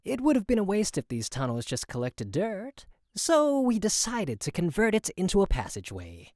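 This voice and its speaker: A woman's voice: medium pitch, huge pitch swings, moderate pace, darkish tone, no echo, clean studio sound. A woman voicing a self-assured, genial male inventor in a visual novel game. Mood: smug, genial.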